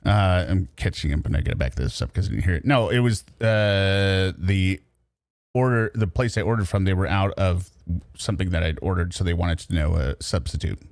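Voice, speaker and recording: A man's voice: low voice, moderate pace, no room sound. A man hosting a casual livestream, reacting and commenting in a chatty, teasing, mock-exasperated way. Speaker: livestream host.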